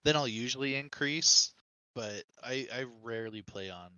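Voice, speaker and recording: A man's voice: mid-range voice, moderate pace, bright, forceful tone, clean tone, dry recording, dull audio. A man speaking as a podcast guest, casually and reflectively explaining his own preferences in video games.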